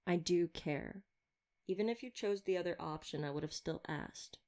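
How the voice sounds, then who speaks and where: A woman's voice: fairly low pitch, moderate pace, a little mellow, natural voice. A woman playing a wry, talkative vampire hunter in an intimate roleplay audio drama, glib and teasing with a caring side. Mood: soft, caring. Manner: glib, flirtatious, sardonic banter.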